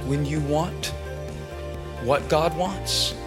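A man preaching a sermon with passionate, shouting delivery. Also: fairly high pitch, very slow. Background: music.

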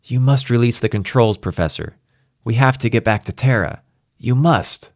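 A man's voice: medium pitch, normal speed, dry recording, studio quality, pitched above the performer's own voice, close to an everyday voice. A man playing an insistent younger spaceship crewman, pleading with his old mentor in a strained, emphatic way.

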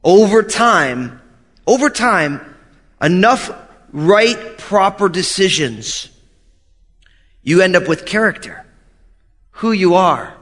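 A man in his thirties preaches earnestly, his voice sitting high. He repeats key phrases to drive them home. The sound rings out with a long hall reverb. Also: very animated, takes it slowly, long pauses, fairly bright tone. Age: 30s. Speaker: pastor. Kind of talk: sermon.

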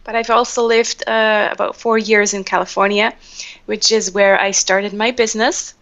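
A Swiss woman, not a native English speaker, answering as a guest on a podcast interview, thoughtful, with pauses and fillers. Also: mid-range voice, little pitch movement, a bit bright, highs cut off. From Switzerland. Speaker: guest, social media trainer.

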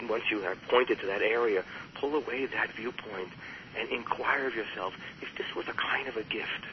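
Male author-guest, low register, earnest and measured, rhetorical; muffled radio audio with faint hiss. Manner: measured, earnest, asks himself rhetorical questions. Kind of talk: reflective interview talk.

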